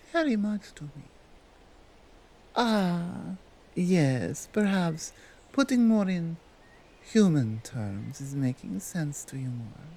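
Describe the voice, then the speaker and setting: A woman voicing a dominant yet tender female Krampus in an ASMR roleplay, with a Nordic accent and halting, deliberate broken English. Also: low-pitched, dark tone, slightly rough, full character voice. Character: dominant, tender, seductive female Krampus, otherworldly gift-bringer.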